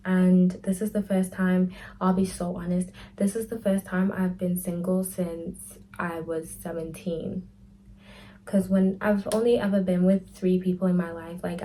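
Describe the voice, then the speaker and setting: A woman's voice: lowish voice, dark tone, slight background noise, poor audio. A woman, chatting candidly to camera in a casual Q&A vlog, full of "like" and "type of thing". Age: 20s. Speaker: lifestyle vlogger.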